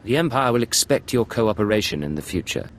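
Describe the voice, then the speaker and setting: An actor playing a resolute secret agent in a video game, controlled, terse and businesslike. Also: male voice, fairly low pitch, very animated, brisk, slight background noise. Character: cool, resolute male imperial secret agent.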